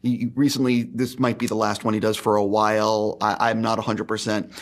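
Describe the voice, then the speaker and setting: Low-voiced man interviewing casually. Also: level tone, a little fast.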